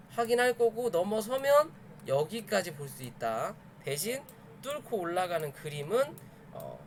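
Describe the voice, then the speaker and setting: A man's voice: sits high, a little hiss or hum. A man explaining charts to viewers in a conversational, emphatic live commentary, often repeating his points. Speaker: stock chart analysis streamer.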